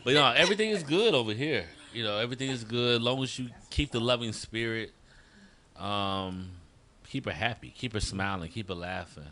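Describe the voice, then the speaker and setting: A man's voice: medium pitch, brisk, sharp tone. A man in his forties chatting casually as a guest, with some noise and clicks in the recording. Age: 40s. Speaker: guest on a couples discussion. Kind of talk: casual chat.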